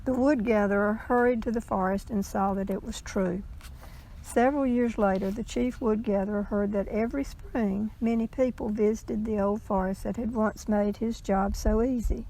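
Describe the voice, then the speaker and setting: A woman reading a legend aloud in a measured storytelling style, with the odd stumble. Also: mid-range voice, fairly even intonation, mellow, slight background noise, rough recording.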